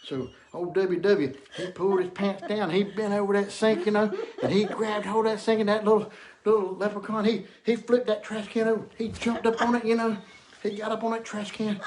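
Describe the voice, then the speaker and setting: High-voiced man spinning a rambling folksy yarn. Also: level tone, fairly quick, a crowd in the background. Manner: rambling, folksy, repeats himself. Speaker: storyteller. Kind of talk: casual comic anecdote to camera.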